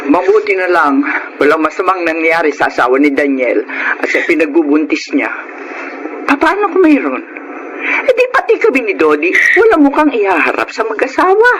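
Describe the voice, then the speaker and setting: A woman's voice: fairly low pitch, big pitch swings, normal speed. A woman with a Filipino accent playing a frank, concerned older aunt in a radio drama. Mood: worried, reproachful. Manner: admonishing, frank. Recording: noisy background.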